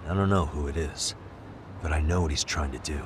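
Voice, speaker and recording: An actor playing a cool, hard-nosed private detective in a video game dub, measured and matter-of-fact. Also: male voice, a bass voice, noisy background. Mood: cool, analytical.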